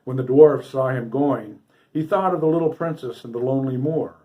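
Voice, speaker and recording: A man with a mid-pitched voice reads a fairy tale aloud. His storytelling is scripted and dramatic, with phrases set out deliberately for listeners of a story hour. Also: dull audio. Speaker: storybook reader.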